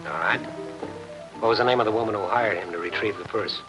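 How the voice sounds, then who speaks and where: An American actor playing a world-weary newspaper reporter in a 1950s crime drama, speaking in terse, clipped phrases. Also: male voice, on the low side, fast talker, natural voice, poor audio. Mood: insistent. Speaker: lead actor. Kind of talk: 1950s crime drama acting, hard-boiled narration. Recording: 1950s TV film soundtrack, loud music.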